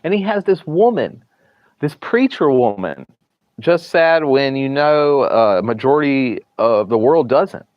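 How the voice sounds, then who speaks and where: A man's voice: mid-range voice, very animated, unhurried, no room sound. A man with a thick Southern US accent, rambling through a casual livestream from a hospital room and often restarting or trailing off into mumbles. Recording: dry, slightly dull livestream audio.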